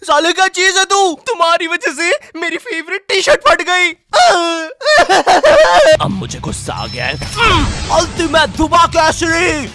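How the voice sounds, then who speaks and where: A man's voice: very high voice, big pitch swings, measured pace, pauses often, shouting, music in the background, heavily acted. A man voicing a brash, boastful boy hero in a comedy cartoon. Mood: furious.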